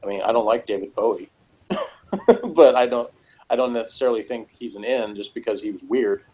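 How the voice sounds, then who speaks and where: A man with a fairly low voice in casual conversation. He rambles a little and hesitates as he works through his thoughts, like a co-host on a discussion show. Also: brisk, warm tone, low-quality recording. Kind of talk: casual discussion of TV and personality types.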